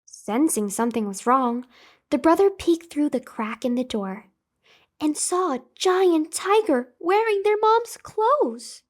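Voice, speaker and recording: A woman narrating a scripted children's bedtime story as host, with careful, expressive enunciation. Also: sits high, takes it slowly.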